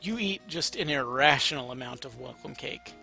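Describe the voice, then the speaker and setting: A man's voice: medium pitch, expressive, normal speed, creaky, bright, forceful tone, soft background music. A man narrating a story aloud in a casual, amateur, playful way. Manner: informal, playful.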